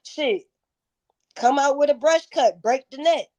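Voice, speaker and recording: Woman in her 30s, kinda high voice, swears a lot, real emphatic storytelling. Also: unhurried, a little mellow. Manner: slangy, profane, emphatic. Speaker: interview guest, music performer. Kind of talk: candid casual storytelling.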